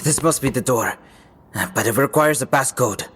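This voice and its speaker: A man's voice: mid-range voice, normal speed, background noise, natural voice. A man playing a confident young field agent in an audio drama, speaking in a terse, casual way. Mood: brisk, curious.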